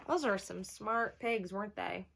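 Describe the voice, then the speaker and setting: A woman storyteller reading a storybook aloud to children, expressive, with asides and questions to her young listeners. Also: medium pitch, a little slow, vocal fry, slight background noise.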